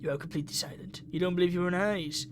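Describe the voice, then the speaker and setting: A man's voice: high voice, fairly even intonation, fast, background noise. A man reading a visual novel aloud for a gaming video in a dramatic, theatrical way. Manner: theatrical, acts out the dialogue.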